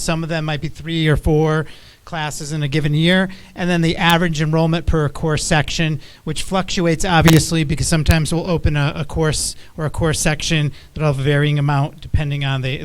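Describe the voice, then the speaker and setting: A man with a loud, clear and smooth voice, a little high, presents slides at a public school board meeting. His delivery is casual and plainspoken. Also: level tone, moderate pace.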